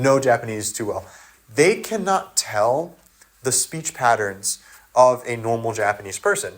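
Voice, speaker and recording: A native English-speaking man in a casual solo monologue, thinking aloud with many fillers and restarts. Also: medium pitch. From English-speaking country. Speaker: video essayist.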